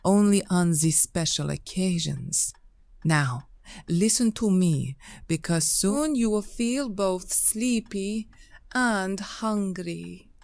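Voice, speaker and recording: A woman acts as a mysterious fairy seductress in an audio drama. She speaks very slowly and deliberately in a medium-pitched voice, luring the listener with hypnotic poise. The sound is close and dry. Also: creaky, a little mellow, no room sound. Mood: hypnotic, beguiling. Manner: slow, deliberate, hypnotic, enticing. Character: mysterious seductive fairy temptress from a foreign land. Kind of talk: audio play acting.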